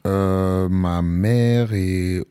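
A man, a guest rapper answering interview questions casually, often pausing on fillers. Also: sits low, fairly even intonation, warm tone, smooth. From Paris, France. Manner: casual, hesitant, many fillers.

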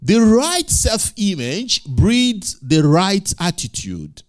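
A male pastor preaching in English, which is not his first language, building to loud emphatic peaks and repeating lines for effect. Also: sits high, very animated, takes it slowly, no room sound, studio quality. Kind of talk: sermon.

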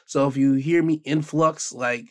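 A man hosting a podcast, giving a casual, rambling recap of the week's wrestling with a hoarse voice. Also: medium pitch, steady tone, measured pace. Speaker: podcast host.

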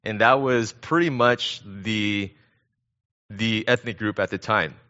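A middle-aged American man preaching conversationally as a guest pastor, speaking in an earnest, halting way. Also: fairly low pitch, bright, forceful tone, dull audio. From born in Korea, grew up in Southern California. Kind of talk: conversational sermon.

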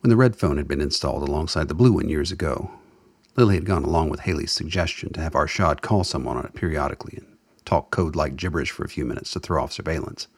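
A man narrating a radio drama as a wry storyteller, with a husky voice and scripted, measured delivery. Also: very deep voice, no echo, clean studio sound, full character voice. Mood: wry storytelling.

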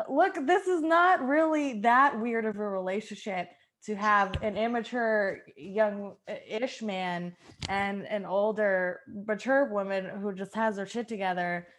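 A woman's voice: mid-range voice, takes it slowly, a bit bright, voice pushed down. A woman playing an exasperated, bossy, motherly wife who fusses and scolds in an improvised comedy sketch. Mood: defensive. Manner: scolding, fussing.

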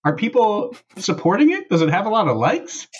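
A man's voice: high-pitched, sing-song, fairly quick, breathy edge. A man hosting a podcast as casual comedic chat among friends, with a sardonic, incredulous way of talking. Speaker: podcast host. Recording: dull lo-fi sound.

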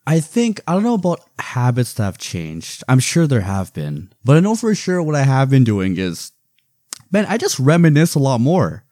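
A man in his twenties co-hosting a casual podcast, playful, swinging between loud excitement and low mumbling. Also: moderate pace, no room sound, clean studio sound. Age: 20s. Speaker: podcast co-host. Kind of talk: casual chat.

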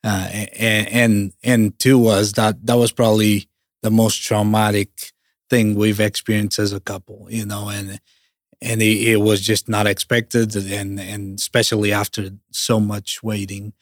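Soft-spoken Portuguese-accented man, flat low voice. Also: no room sound, studio quality. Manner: halting, many restarts and fillers. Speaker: Salvation Army officer, guest. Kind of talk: interview, personal story.

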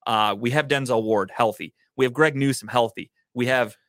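A man's voice: medium pitch, moderate pace, a bit bright, dry recording, studio quality. An American man hosting a show with casual, blunt, profane sports chat. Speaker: show host.

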